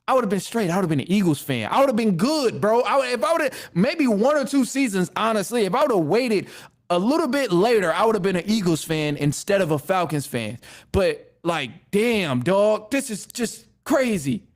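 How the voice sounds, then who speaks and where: A man's voice: high-pitched, sing-song, moderate pace. A man hosting a sports podcast, ranting casually in an exasperated, rambling way with slangy repeats and mild swearing. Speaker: podcast host. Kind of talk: casual sports rant to listeners.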